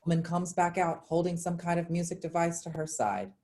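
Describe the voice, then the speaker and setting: A woman's voice: on the low side, brisk, darkish tone. A woman narrator reading stage directions as scripted narration.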